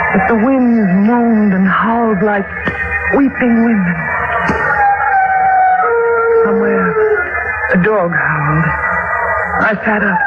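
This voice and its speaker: An actress playing a frightened woman recounting a nightmare with slow, dramatic dread in a 1940s radio mystery. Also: mid-range voice, natural voice. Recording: muffled old radio recording, loud music.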